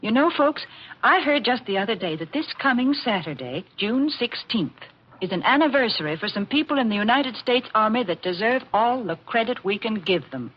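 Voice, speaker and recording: A woman's voice: on the high side, expressive, moderate pace, slight background noise. A woman co-hosting a 1940s radio show with scripted, folksy, chatty delivery. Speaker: radio show co-host and sponsor spokeswoman. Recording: muffled old radio recording.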